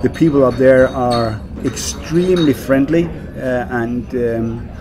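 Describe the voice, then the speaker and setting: A man's voice: mid-range voice, measured pace, background noise. A Danish man working as a whisky brand ambassador, speaking English in a casual interview, with many fillers and repeated words. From Denmark.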